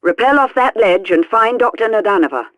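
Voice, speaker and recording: A woman's voice: mid-range voice, expressive, a little fast, fairly bright tone, no echo. An actress playing a stern, exasperated female spy chief, heard through a radio effect in a video game.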